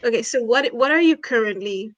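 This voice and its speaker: A young woman with a high voice, hosting a casual interview show. She asks her questions with gushing enthusiasm. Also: expressive, a little fast, a bit bright. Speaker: host.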